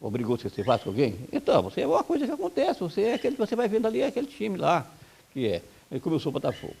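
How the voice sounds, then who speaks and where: A man from Brazil speaks quickly with a gravelly, rough-edged voice. He answers interview questions bluntly and with some heat, firing off complaints one after another. A faint hiss of noise sits behind him. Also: high-pitched, huge pitch swings, a little mellow. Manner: blunt, heated, rapid-fire complaints. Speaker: football coach.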